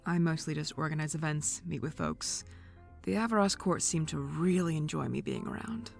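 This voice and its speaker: A woman's voice: sits low, normal speed, creaky, faint music behind. A woman voicing a wry young event organizer in a fan audio drama, who talks in a dry, sarcastic, easygoing way.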